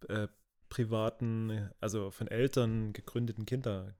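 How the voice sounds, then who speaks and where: A man's voice: lowish voice, fairly even intonation, measured pace, mellow. A man from Germany, co-hosting a casual podcast, asking questions and adding playful asides. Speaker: podcast co-host, interviewer. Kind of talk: casual chat.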